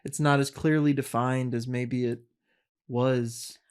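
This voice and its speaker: A man's voice: medium pitch, steady tone, slow pace, smooth. A man co-hosting a podcast, asking thoughtful, reflective questions. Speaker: podcast co-host. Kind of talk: casual chat.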